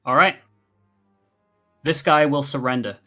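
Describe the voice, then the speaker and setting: A man's voice: mid-range voice, a little slow, bright, forceful tone, soft background music. A man running a tabletop fantasy game as game master, talking casually and narrating to the players.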